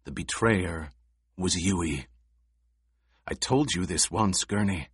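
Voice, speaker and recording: A man reading an audiobook, voicing a young noble heir who speaks in a deadly quiet, controlled monotone. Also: deep voice, slow pace, slightly rough, dry recording, clean studio sound, exaggerated voice. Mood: cold. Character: young male noble heir, cold and commanding. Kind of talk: audiobook character voice.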